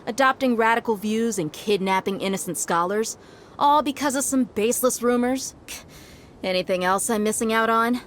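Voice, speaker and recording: A woman's voice: on the high side, normal speed, a little hiss or hum, lightly acted. A woman doing a cocky, sly mercenary. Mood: smug. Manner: cocky, teasing, laid-back. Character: confident female desert mercenary, sly and unbothered. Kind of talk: video game cutscene dialogue.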